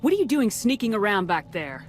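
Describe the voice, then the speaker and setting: An actress playing a tough, blunt military commander in a video game, questioning people in a curt, confrontational manner. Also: female voice, mid-range voice, very animated, fairly quick, faint music behind, natural voice. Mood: scornful. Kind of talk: video game dialogue acting.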